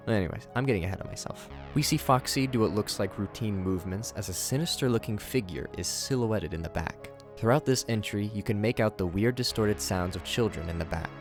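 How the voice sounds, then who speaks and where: Man, deep voice, scripted video commentary, host delivery, faint music behind. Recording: music under the voice. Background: background music.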